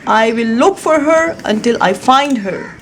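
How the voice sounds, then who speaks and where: A woman's voice: a little high, animated, measured pace, background noise. A woman voicing an anxious, sorrowful male bird in a picture book, with plaintive exclamations. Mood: determined.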